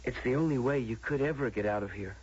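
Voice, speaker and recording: A man's voice: medium pitch, quick pace, darkish tone, husky, close to an everyday voice. An actor playing a desperate, pleading man in a radio drama, coaxing urgently and hesitantly. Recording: muffled old radio broadcast recording.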